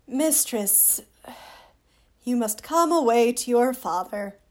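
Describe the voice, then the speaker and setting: A woman's voice: a little high, expressive, slow pace, a little mellow. A woman playing a cheeky court fool in a Shakespearean radio play, with a mock-solemn, jesting delivery.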